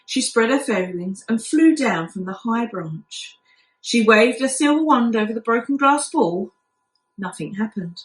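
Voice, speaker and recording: Lively woman reading a children's story. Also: on the high side, measured pace. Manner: expressive storytelling, acting out characters. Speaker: primary school teacher reading aloud. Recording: echoey room.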